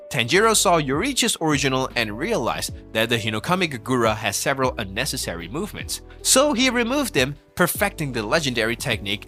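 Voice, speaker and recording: A man's voice: on the high side, sharp tone. A man reading scripted narration for an explainer video. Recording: music bed under the voice. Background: background music.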